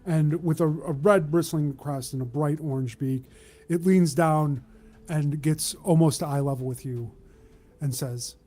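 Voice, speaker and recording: A man acting as game master, improvising tabletop narration in a measured, descriptive way with mid-sentence pauses. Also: a little high, warm tone.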